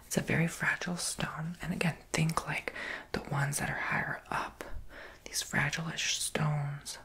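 A quirky, sassy saleswoman in a metaphysical crystal shop, played by a woman, speaks in a breathy whisper. Her voice sits low, and she rambles chattily in the style of an ASMR roleplay. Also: flat delivery, a little slow, pushed and bright, exaggerated voice. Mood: informative, gentle.

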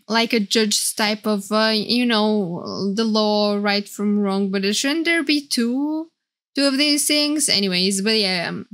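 A young woman streamer giving casual, excitable, gushing live reaction commentary. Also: a little high. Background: game trailer music.

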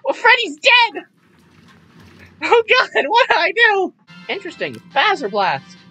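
A very high, bright kid's voice that swoops wildly up and down. A woman plays this flippant young boy from a comedy game dub, rambling and exclaiming with cheek. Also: huge pitch swings, a little slow, soft background music, heavily acted, low-quality recording. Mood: playful. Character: cheeky, excitable young boy dodging robots. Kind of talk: improvised comedic game fandub.

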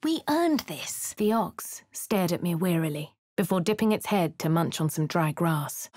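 A woman voicing a posh, plucky young adventurer with a southern English accent, speaking crisply and chanting spells. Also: lowish voice, animated, measured pace, no room sound. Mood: composed. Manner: chants spell incantations loudly. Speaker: player character voice. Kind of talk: video game voice lines. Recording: dry studio recording.

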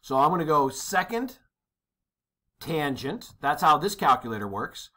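A man's voice: on the high side, very animated, unhurried, bright, forceful tone. A man teaching a worked problem at a desk, casual and thinking aloud, often checking in with "okay?". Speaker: maritime exam instructor. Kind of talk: tutorial over a worksheet. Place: indoors at a desk.

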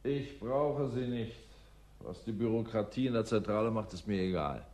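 A male actor plays a surly loner of a researcher in a science fiction radio play. His voice sits somewhat low, and he talks in a brusque, dismissive, defiant way. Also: normal speed, darkish tone, close to an everyday voice. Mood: irritated, defiant. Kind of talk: German radio play acting.

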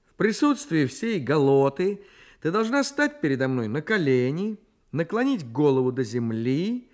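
A man's voice: on the high side, a little mellow, voice pushed up. A man voicing a boastful, bossy young boy who declaims pompously and solemnly in a radio story reading.

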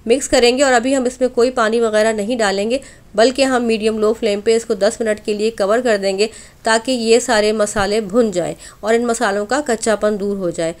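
A woman with a fairly high voice narrates as the host of a cooking channel. She speaks in an instructional way, guiding viewers directly through each step as a tutorial voice-over. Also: fairly even intonation, normal speed.

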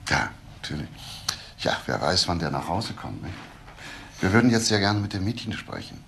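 An actor playing a businesslike, probing police detective in a crime radio drama, speaking in a clipped manner. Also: male voice, sits low, sing-song, moderate pace, gravelly, fairly bright tone, slight background noise, exaggerated voice.

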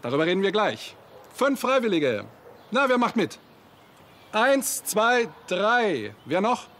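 A man's voice: high-pitched, sing-song, slow pace, fairly bright tone, heavily acted. An actor playing a cheerful middle-aged male schoolteacher in a TV drama, announcing a contest to a group in a theatrical, instructive way. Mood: cheerfully announcing. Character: cheerful male schoolteacher staging a Roman chariot race. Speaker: teacher leading a school contest. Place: outdoor courtyard. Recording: outdoor courtyard with background noise.

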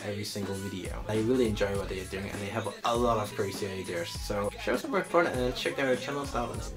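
A young man chatting casually to camera, sometimes halting as he searches for words. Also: fairly low pitch, music in the background. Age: young adult. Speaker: vlogger. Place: small indoor room.

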